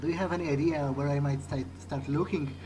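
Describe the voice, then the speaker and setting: A man roleplaying in character through a video game, thinking aloud in a halting, hesitant monologue. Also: on the high side, fairly even intonation, over music, rough recording.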